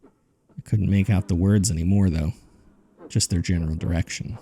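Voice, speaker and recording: An actor playing a haunted man who recounts a terrifying ordeal in grave, deliberate, gravelly first-person narration for a horror audio drama. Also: sits low, fairly even intonation, mellow. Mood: shaken, haunted.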